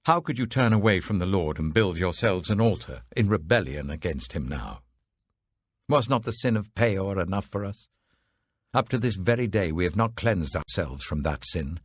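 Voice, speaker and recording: A man voicing an indignant Israelite envoy in a scripture reading, delivering forceful, accusatory oratory. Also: low voice, animated, moderate pace, husky, dry recording, studio quality. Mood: stern, indignant.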